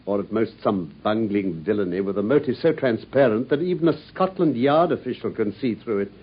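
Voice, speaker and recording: An actor playing a brilliant, self-assured detective in a radio play, precise and lightly condescending, on a dull lo-fi broadcast recording. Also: male voice, fairly low pitch, animated, normal speed, slightly rough. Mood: restless. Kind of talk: 1950s-style BBC radio drama acting.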